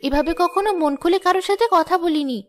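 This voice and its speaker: A woman's voice: extremely high pitch, a little fast, soft background music. A woman voicing a meek, sweet, overworked orphan girl with a plaintive, polite manner in a cartoon. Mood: shy, friendly. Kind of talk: cartoon moral-tale dialogue.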